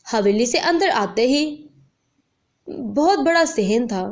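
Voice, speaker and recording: A woman's voice: a little high, expressive, takes it slowly. A woman narrating a scripted dramatic story as a storyteller.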